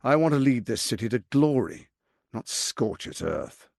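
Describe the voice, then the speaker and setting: A man's voice: medium pitch, very animated, normal speed, gravelly, a little mellow, no room sound, clean studio sound. A man playing a suave, scheming, arrogant tyrant lord in a video game, with a pompous, silky, theatrical delivery. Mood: persuasive.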